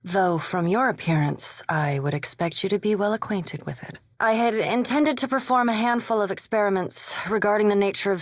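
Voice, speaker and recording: Actress doing a vampire lady scholar for a video game, mid pitch, cool n polite, very formal and measured, dry studio sound. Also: no echo, natural voice. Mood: formal, composed. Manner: measured, formal, precise, reserved. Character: coolly polite, scholarly vampire animancer woman. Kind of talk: video game character dialogue.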